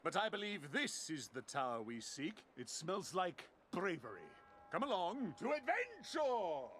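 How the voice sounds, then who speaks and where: An actor playing a bombastic old-time gentleman adventurer in a video game, pompous and theatrical. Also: high voice, huge pitch swings, unhurried, slight background noise, full character voice. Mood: boastful, astonished. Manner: pompous, grandiose, exclamatory.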